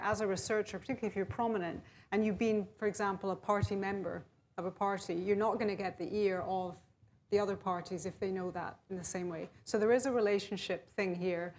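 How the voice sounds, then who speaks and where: A woman on a research panel, giving frank, direct advice. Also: mid-range voice. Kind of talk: panel discussion answer.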